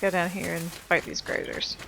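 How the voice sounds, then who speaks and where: A woman's voice: fairly low pitch, flat delivery, normal speed, vocal fry, fairly bright tone, slight background noise, poor audio. A woman giving casual video game commentary, thinking aloud in an informal, self-deprecating way. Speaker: video game player and host of a playthrough.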